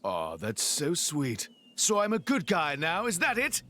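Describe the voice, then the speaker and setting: A man's voice: fairly high pitch, huge pitch swings, unhurried, fairly bright tone, a little hiss or hum, heavily acted. An actor playing a cocky, theatrical crown prince swordsman in a video game, boastful but friendly. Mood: amused, friendly. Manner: pompous, theatrical. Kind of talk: animated video game voice acting. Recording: background game music.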